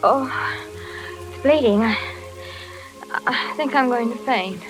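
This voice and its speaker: High-voiced radio ingenue. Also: female voice, slow pace, over music, low-quality recording. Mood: faint, alarmed. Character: charming, loyal young female love interest. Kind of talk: 1940s radio thriller acting. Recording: old 1940s radio recording.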